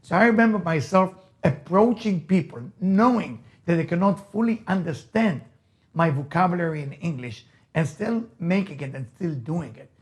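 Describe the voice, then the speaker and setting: A man's voice: sits high, expressive, normal speed. A man with an Israeli accent, a native Hebrew speaker, giving an emphatic motivational lecture, repeating key phrases and asking rhetorical questions. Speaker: rabbi, motivational speaker and teacher.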